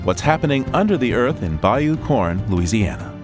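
Lively male radio host over music. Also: mid-range voice, normal speed. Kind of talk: scripted show announcements.

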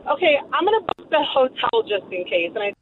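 A woman's voice: high-pitched, big pitch swings, brisk. A woman calling a radio show and casually telling a personal story. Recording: narrow noisy phone line.

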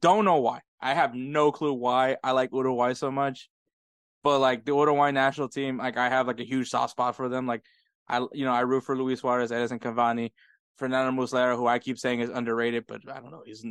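American man, podcast co-host, animated storyteller, clear voice, dry room. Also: medium pitch, brisk, dry recording. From United States. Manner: laughing often, animated storytelling. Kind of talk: casual chat.

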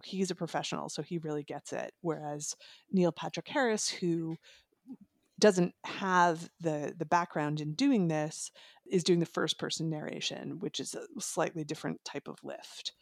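A woman's voice: low voice, dry recording, clean studio sound. A woman talking casually and informally about books as a reviewer on a podcast, with a giggly manner. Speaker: audiobook reviewer, podcast guest. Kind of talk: casual, chatty book discussion.

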